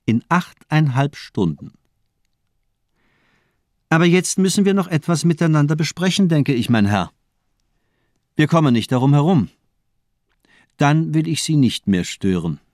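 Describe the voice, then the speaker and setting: A man's voice: fairly high pitch, animated, unhurried, long pauses, darkish tone, dry recording, studio quality. An actor playing a sinister, cultivated doctor in a radio crime drama, eloquent and mockingly polite as he toys with his captive. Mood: cold, polite.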